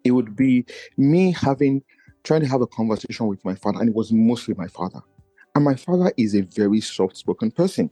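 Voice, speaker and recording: A man's voice: animated, fairly quick, warm tone, dry recording, soft background music, low-quality recording. A man, a podcast guest talking in an earnest, searching way and often restarting his thoughts. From Nigeria. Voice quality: low voice he feels does not carry. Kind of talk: reflective personal conversation.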